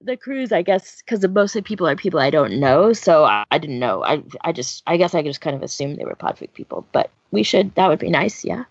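A woman's voice: deep voice, big pitch swings, brisk, few pauses, breathy edge, dry recording. A woman co-hosting a casual podcast, rambling and hedging in a self-deprecating way. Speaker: podcast host. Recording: dull, dry close recording.

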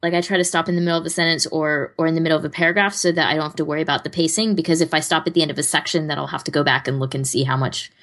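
A woman with a notably low voice chats as a podcast co-host. She speaks in an informal, conversational manner with a self-deprecating streak. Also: fairly even intonation, rapid-fire, few pauses, fairly bright tone. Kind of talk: casual chat.

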